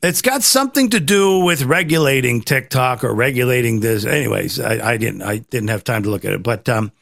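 A man hosting a casual political commentary chat, rambling and halting as he often repeats his phrases. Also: mid-range voice, lively intonation, gravelly, fairly bright tone, dry recording, clean studio sound. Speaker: talk show host.

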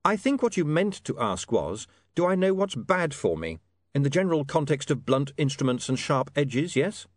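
A man voicing a dry, pedantic, quick-witted gentleman in an audiobook story, polite and precise. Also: a little high, sing-song, dry recording, voice pushed up. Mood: wry, pedantic.

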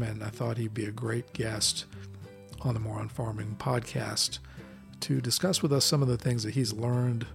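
A man hosting a casual podcast, reading a scripted intro and then asking relaxed questions with fillers. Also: mid-range voice, creaky. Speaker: podcast host.

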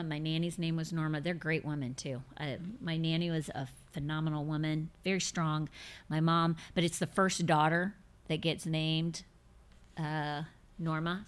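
A woman's voice: fairly low pitch, fairly bright tone. A woman in her fifties hosting a faith podcast, chatting casually and emphatically as she answers listener questions, often repeating words to drive a point home. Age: 50s. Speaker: podcast host.

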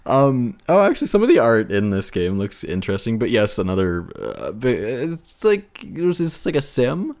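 A man speaking as a podcast co-host in casual, unscripted talk about games, feeling his way through sentences with halting phrasing. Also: mid-range voice, wildly swooping pitch, darkish tone. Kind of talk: casual unscripted video game discussion.

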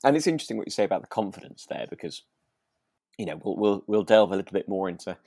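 A man co-hosting a podcast in relaxed chat, hesitant and agreeable as he thinks aloud. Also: on the low side, fast talker, dry recording, clean studio sound. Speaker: podcast co-host. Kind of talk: relaxed chat discussing a radio drama.

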